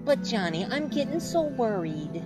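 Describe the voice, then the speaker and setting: A storyteller voicing a frightened teenage girl who pleads anxiously to go home, in a high, lively voice. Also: normal speed, over music. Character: scared teenage girl Susie.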